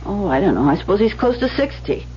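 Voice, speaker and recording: An actress playing an anxious, soft-hearted receptionist with a hesitant, pleading manner in an old-time radio drama. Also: female voice, medium pitch, sing-song, quick pace, close to an everyday voice. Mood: uneasy. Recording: muffled, hissy old radio broadcast recording.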